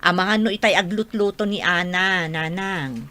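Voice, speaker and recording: A woman's voice: lowish voice, normal speed. A woman playing a middle-aged mother in a radio drama, chiding and exasperated with her daughter. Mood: questioning. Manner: chiding, expressive. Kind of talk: Filipino radio drama acting.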